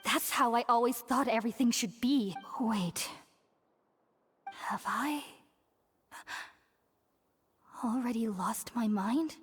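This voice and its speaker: A woman's voice: on the high side, unhurried, husky, soft background music. A woman voicing an unhinged, fervently devout young woman, theatrical and desperate, in an anime game with long reverb. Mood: dazed.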